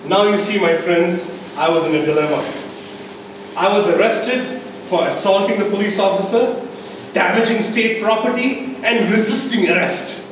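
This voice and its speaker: A man telling a comic tall tale as a speech to a live audience, with theatrical pauses and an emphatic build-up. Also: sits high. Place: indoor meeting room, recorded from the audience. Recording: distant microphone, echoey room, background noise.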